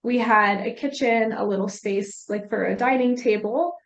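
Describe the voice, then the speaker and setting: A young woman student speaking on a study abroad panel in a relaxed, conversational way. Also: a little high, normal speed. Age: young adult. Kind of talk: panel discussion.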